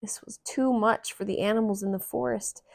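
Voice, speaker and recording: A woman reading a picture book aloud to young children in a gentle, deliberate manner. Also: medium pitch, fairly even intonation, gravelly. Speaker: children's storybook reader.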